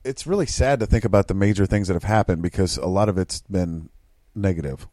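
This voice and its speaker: A man's voice: deep voice, fairly quick, mellow, no echo. A man in his forties co-hosting a casual chat, hesitant and rambling, often restarting his sentences. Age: 40s. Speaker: podcast co-host.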